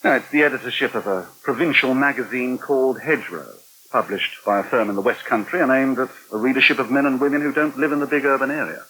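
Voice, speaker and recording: An actor playing a polite, hesitant but determined British journalist in a 1980 radio soap. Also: male voice, medium pitch, fast talker, sharp tone, a little hiss or hum, lightly acted, poor audio. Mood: hesitant, apologetic.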